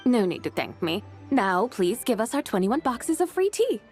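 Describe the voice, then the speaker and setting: A woman voicing a calm, dignified, literal-minded scholarly woman in a video game, speaking in a formal, measured, matter-of-fact way. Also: on the high side, soft background music. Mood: assured.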